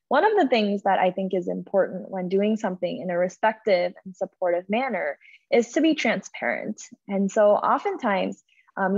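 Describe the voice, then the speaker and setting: A woman's voice: mid-range voice, creaky, darkish tone, husky, no room sound. Young woman on a dull video call. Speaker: webinar panelist. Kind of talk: explanatory panel talk. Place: indoor room, on a video call.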